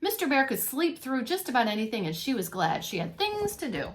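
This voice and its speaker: A woman reading a storybook aloud to young children as a teacher, expressively, pausing to comment and ask questions. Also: sits high, very animated. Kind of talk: animated read-along storytelling for young children.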